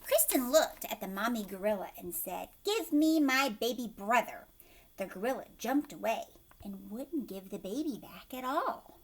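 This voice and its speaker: A woman teacher reading a storybook aloud to children, expressive and addressing young listeners directly. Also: mid-range voice, moderate pace, a little hiss or hum.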